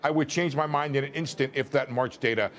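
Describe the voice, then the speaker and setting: Man, middle-aged, mid-pitch voice, news commentator, measured and conversational, remote link. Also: fairly bright tone, a little hiss or hum. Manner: measured, conversational, arguing a point. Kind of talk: live TV debate, remote. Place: indoor room.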